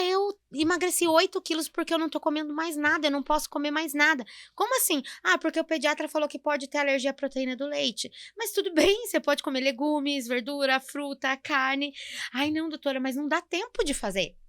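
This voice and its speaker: A woman's voice: sits high, brisk, a bit bright, no room sound, studio quality. A Brazilian woman speaking as a nutritionist guest on a podcast, explaining emphatically and conversationally. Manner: emphatic, explanatory, acts out short dialogues.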